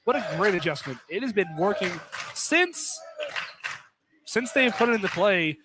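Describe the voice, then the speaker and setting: A man's voice: high voice, sing-song, normal speed. A man doing live play-by-play commentary of a basketball game from inside a field house. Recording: noisy gym with ball bounces.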